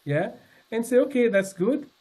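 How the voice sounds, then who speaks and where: A man in his sixties giving a personal testimony to a congregation, speaking earnestly and haltingly, with many fillers and restarts. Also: extremely high pitch, big pitch swings, a little mellow. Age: 60s. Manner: earnest, sincere. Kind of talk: personal testimony talk with slides.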